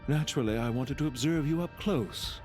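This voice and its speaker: A man playing a calm, courteous, scheming villain officer in a video game, speaking in a polite, formal, measured and theatrical way. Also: mid-range voice, soft background music, natural voice. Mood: smooth, amused. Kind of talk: scripted video game voice acting.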